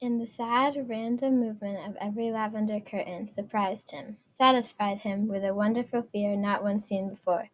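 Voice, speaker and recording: A woman's voice: mid-range voice, fairly even intonation, normal speed, hardly any pauses, a little hiss or hum, rough recording. A woman reading scripted story narration aloud, carefully and with the odd stumble. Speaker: student reader.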